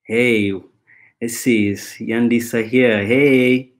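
A man moderating an online panel over a video call, measured and hesitant with many ums. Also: mid-range voice, fairly even intonation. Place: indoors, plain room, video call. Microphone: webcam on video call.

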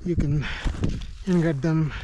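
A man talking to viewers while hiking a mountain trail, halting and full of fillers, recorded on a camera mic outdoors. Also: fairly high pitch, steady tone, warm tone, slight background noise, rough recording. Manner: halting, many fillers and restarts, addresses viewers as guys. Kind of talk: casual trail vlog commentary.

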